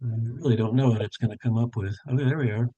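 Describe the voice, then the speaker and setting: An older man runs a live online workshop for tutors, narrating each step as he goes. His voice sits in an ordinary middle pitch, and he talks it through with plenty of fillers and self-corrections. The sound is dry and close, like a video call from a home office. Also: quick pace, mellow, dry recording, dull audio. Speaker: tutor trainer. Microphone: webcam on a video call.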